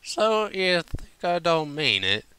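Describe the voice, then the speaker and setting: Man voicing a loud, drunken Southern country mare. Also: a little high, sing-song, slow pace, clear, resonant tone, dry recording, pitched above the performer's own voice, exaggerated voice. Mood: defensive. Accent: American Southern. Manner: folksy, loud, blurting. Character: drunken, earnest, stubborn female Southern country pony. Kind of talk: fan fiction audiobook character voice.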